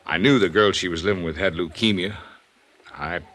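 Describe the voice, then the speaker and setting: An American actor playing a tough but shaken, lovesick hired man in a 1950s radio drama, speaking in a halting, confessional way. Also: deep voice, a bit bright. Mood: confessing.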